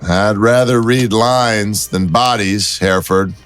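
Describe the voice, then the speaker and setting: Casting: male actor as wry audio-drama detective, low register, cool teasing banter. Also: fairly even intonation, takes it slowly, fairly bright tone, clear, resonant tone, soft background music. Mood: intent, wry.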